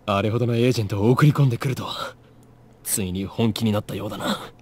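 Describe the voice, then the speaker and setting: An actor playing a grandiose, paranoid young mad scientist in a visual novel game, with pompous, melodramatic delivery. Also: male voice, fairly low pitch, racing, darkish tone, natural voice. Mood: paranoid muttering. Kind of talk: theatrical Japanese visual novel acting.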